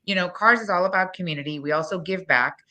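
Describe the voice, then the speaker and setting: A woman's voice: on the low side, pushed and bright. A woman in her forties from Guyana with a Guyanese accent, a business owner being interviewed, talking bluntly and emphatically. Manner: blunt, fast-flowing, emphatic. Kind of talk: interview, promotional pitch.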